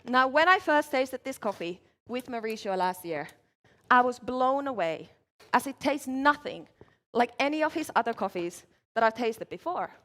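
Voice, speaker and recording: A woman speaks in a clear, measured way as she presents her drinks to judges. Her voice sits a little high for a woman. She talks through each step while she works. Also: animated, fairly bright tone. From Finland. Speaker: barista competitor. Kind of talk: competition presentation. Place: large event hall, live competition.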